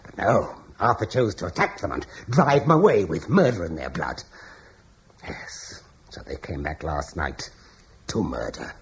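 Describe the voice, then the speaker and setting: A gloomy, hesitant neighbor who stammers as he speaks, his voice sitting low and swinging widely in pitch. He sounds bitter and fearful throughout. Faint hiss from an old radio broadcast lies under him. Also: very animated, measured pace, heavily acted. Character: anxious older Romano-British man, fearful, bitter. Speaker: neighbor fleeing with his wife. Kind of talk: radio drama acting.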